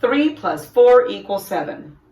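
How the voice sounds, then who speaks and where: Woman as young boy cat, storybook pupil, cheerful, encouraging, animated, distant mic. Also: mid-range voice, measured pace. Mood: bright, pleased. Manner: upbeat, encouraging. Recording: roomy echo, distant microphone.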